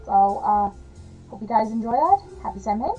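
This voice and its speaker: A woman talking casually to camera as a witchcraft vlogger, chatty and rambling with frequent fillers and soft laughs, her voice slightly hoarse and gravelly. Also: a little high, very animated, normal speed, phone-quality sound, soft background music.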